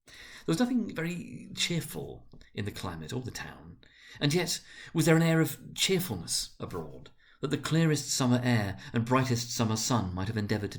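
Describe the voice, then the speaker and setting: A man reading classic prose aloud as a storyteller, in an expressive, deliberate, dramatic narrating manner. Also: lowish voice. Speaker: narrator, reader.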